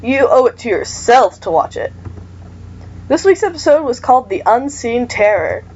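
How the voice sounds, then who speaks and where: A woman reading the lines of a chatty teenage boy who loves horror movies, rambling excitedly. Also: a little high, normal speed, breathy edge, muffled recording.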